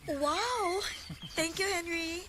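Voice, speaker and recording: A woman's voice: extremely high pitch, drawn-out words, heavily acted. A woman with a Filipino Cebuano accent acting an emotional married woman with a secret romance in a radio drama. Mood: delighted. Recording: noisy radio drama with ambience.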